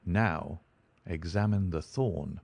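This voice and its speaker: A man voicing a calm, precise male detective who speaks in a measured, dry way in an audiobook. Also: low-pitched, gravelly, dry recording, full character voice. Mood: cool. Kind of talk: audiobook character voice.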